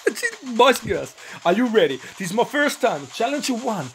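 A young man from Finland, speaking English with a Finnish accent as his second language, commenting excitedly and swearing while recording a mobile game. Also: high voice, moderate pace, a little hiss or hum. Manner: swearing, excitable, thinking aloud, broken English. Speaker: gaming channel host.

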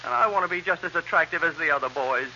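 An actor playing a fussy, jealous househusband in 1930s radio comedy, plaintive and theatrical. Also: male voice, on the high side, lively intonation, quick pace, pushed and bright, full character voice. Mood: jealous, indignant. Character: fussy, jealous, nagging househusband in a role-reversal sketch. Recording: dull, hissy 1930s radio recording.

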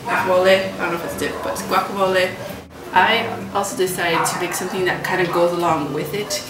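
A woman's voice: on the low side, level tone, fast, heavy background noise. A young woman making a casual cooking vlog in her home kitchen, talking hesitantly and trailing off, recorded from across the room. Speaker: vlogger. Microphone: camera mic from across the room. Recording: roomy kitchen audio, distant mic.

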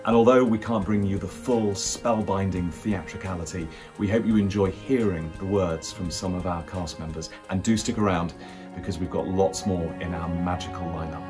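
A man's voice: sits low, fairly even intonation, moderate pace. A man in his forties, an actor presenting a scripted piece to camera from his living room. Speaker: actor presenting a theatre video. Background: music.

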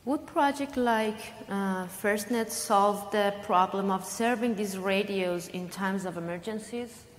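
Young woman interviewer, reads questions a bit haltingly, mid pitch, conference hall.

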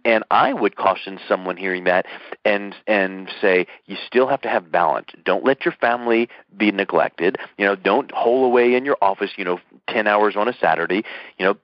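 A man's voice: sits low, no room sound. A male radio show host, upbeat and chatty, summing up his guest's advice. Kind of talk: interview host chat. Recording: dull lo-fi radio sound.

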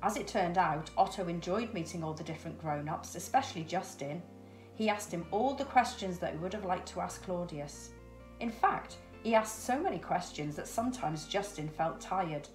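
A woman's voice: lowish voice, lively intonation, moderate pace, soft background music. A middle-aged woman reading a children's story expressively. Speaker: storybook reader. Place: indoors, small room with cupboards. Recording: roomy echo.

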